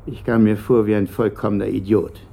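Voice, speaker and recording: An actor playing a bitter, uneasy middle-aged company director answering questions haltingly in a German radio play. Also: male voice, fairly low pitch, flat delivery, gravelly, mellow. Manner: hesitant, evasive, halting.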